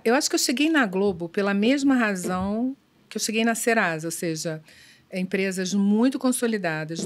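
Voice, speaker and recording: A middle-aged woman executive answering interview questions in a measured, thoughtful way, pausing often with fillers. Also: mid-range voice. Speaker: business executive guest. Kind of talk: conversational podcast interview.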